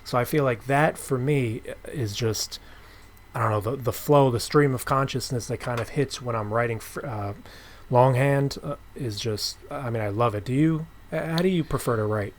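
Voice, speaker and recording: A man's voice: mid-range voice, normal speed. A man hosting a casual interview, talking in an informal, thoughtful and explanatory way. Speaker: podcast host and comic writer.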